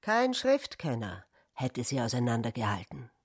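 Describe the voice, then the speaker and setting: A woman's voice: very deep voice, huge pitch swings, moderate pace, husky, heavily acted. A woman narrating a satirical literary radio drama. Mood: pointed.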